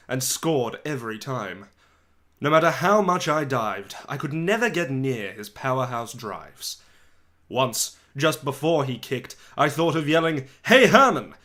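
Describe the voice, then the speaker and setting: Male narrator, medium pitch, dramatic audiobook reading, expressive, theatrical phrasing, deliberate pauses.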